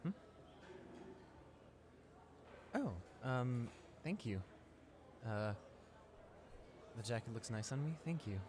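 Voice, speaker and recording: A young man playing a friendly, eager high school senior in a roleplay audio drama, rambling enthusiastically with stammers and repeats. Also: expressive, unhurried, lightly acted. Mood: friendly, upbeat. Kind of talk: roleplay audio drama, casual one-sided conversation. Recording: clear background noise, ambience.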